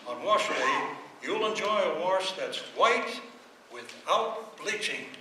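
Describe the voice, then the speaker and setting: A man playing a genial, folksy announcer and sponsor pitchman in an old-time radio serial, reading scripted lines on stage. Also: animated, slow pace. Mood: upbeat selling. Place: stage of a live radio play performance. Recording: echoey hall, far from mic, clear noise.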